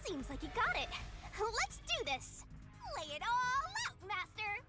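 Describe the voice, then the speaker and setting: A woman's voice: extremely high pitch, wildly swooping pitch, unhurried, over loud music, heavily acted. A woman voicing a perky, bossy female spirit sidekick in an anime-style video game, with a theatrical, teasing delivery. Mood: urging.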